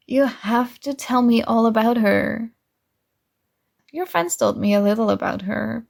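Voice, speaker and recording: A young woman performing ASMR roleplay as a sweet, oblivious friend, earnestly giving love advice with little hesitant pauses. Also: a little high, vocal fry, exaggerated voice. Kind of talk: soft ASMR roleplay acting.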